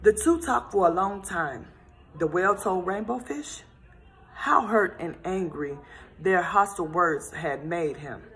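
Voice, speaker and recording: Low-voiced woman reading a children's story. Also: a little slow. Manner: gentle, expressive storytelling. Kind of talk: storybook read-aloud.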